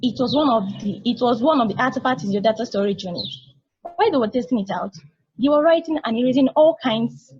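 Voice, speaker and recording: A woman's voice: a little high, quick pace, a little mellow, highs cut off, a little hiss or hum, natural voice. A woman playing a knowing, teasing little-sister space probe in an amateur table read, casual and explanatory. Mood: knowing, amused.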